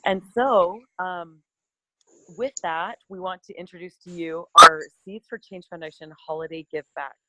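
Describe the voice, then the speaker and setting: A woman's voice: lowish voice, steady tone, moderate pace, very noisy. A woman who directs a charity foundation, presenting on a conference call in an earnest way, with many fillers and restarts.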